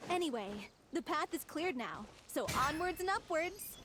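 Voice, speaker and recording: A woman's voice: extremely high pitch, big pitch swings, normal speed, noisy background. An actress voicing a spirited, cheeky young female adventurer in an anime fantasy game, with a perky and direct delivery. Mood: upbeat. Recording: music behind the voice.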